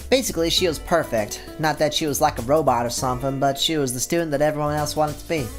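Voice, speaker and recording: A man reading a visual novel aloud in plain, steady narration, with the odd casual aside. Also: a little high, fast, faint music behind. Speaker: YouTube gamer.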